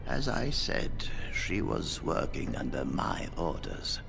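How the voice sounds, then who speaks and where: An actor playing an elderly, authoritative mage leader in a fantasy game, speaking formally and deliberately in a gravelly voice. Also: male voice, a bass voice, very animated, a bit bright, heavily acted. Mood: grave, measured. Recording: game audio with music underneath.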